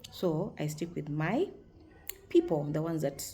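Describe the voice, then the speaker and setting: A woman in her forties with a deep voice, talking frankly and cheerfully to camera in a casual vlog, laughing often. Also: sing-song, unhurried, dark tone, slight background noise. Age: 40s. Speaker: vlogger.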